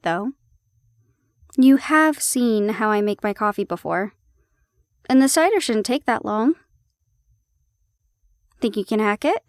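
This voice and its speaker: A woman acts a harried, loving young girlfriend in an audio roleplay. Her voice is high and expressive, with a teasing, coaxing way of talking. The recording is close and dry. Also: no echo. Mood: teasing, pleased.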